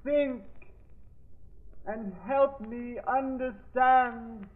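Very slow, high-pitched trance psychic. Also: male voice, fairly even intonation, exaggerated voice. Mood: entranced. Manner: slow, hushed, incantatory. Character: solemn male psychic in a séance trance. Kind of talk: 1940s radio drama acting. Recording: narrow, muffled old radio recording.

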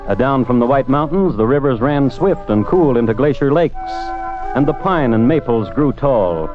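A man hosting and narrating a scripted old-time radio true-crime show in a deliberate, dry, literary way. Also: mid-range voice, animated. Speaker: host and narrator. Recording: muffled vintage radio recording with music.

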